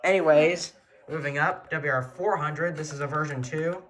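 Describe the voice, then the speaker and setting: A man's voice: fairly high pitch, moderate pace, a bit bright. A man giving a casual show-and-tell tour of a radio collection as an enthusiastic hobbyist.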